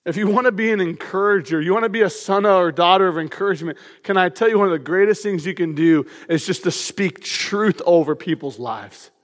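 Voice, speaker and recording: A man preaching an energetic sermon as pastor, with a loud, emphatic delivery to a room full of people. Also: high-pitched, brisk, few pauses. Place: church auditorium. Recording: long hall reverb.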